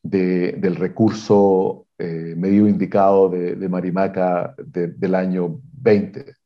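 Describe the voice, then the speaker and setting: A man, a mining exploration executive, giving measured technical answers in an interview, pausing often with fillers and restarts. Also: low-pitched, level tone, dull audio. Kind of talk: interview answers on a video call.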